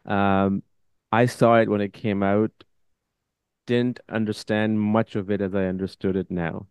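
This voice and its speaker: A man with a fairly low, smooth voice hosts a casual interview. He talks informally and effusively in loose phrases, recorded close and dry. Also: little pitch movement, unhurried, darkish tone, no room sound. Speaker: podcast host.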